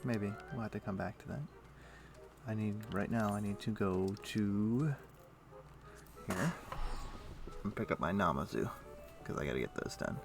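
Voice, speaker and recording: A man's voice: lowish voice, animated, measured pace, long pauses, music in the background. A man livestreaming a video game, casually thinking aloud in short muttered phrases as he plays, speaking into a desk microphone. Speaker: livestream host. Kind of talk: casual gaming commentary, talking to himself while playing.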